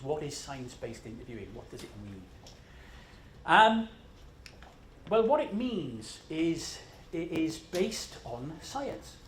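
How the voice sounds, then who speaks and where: A Scottish man presents to a conference crowd in a loose, chatty way, with plenty of fillers and self-deprecating asides. His voice sits a little high and sounds tired from jet lag. The sound is roomy and echoey, with a faint hiss underneath. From Scotland. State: jet-lagged. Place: large conference room.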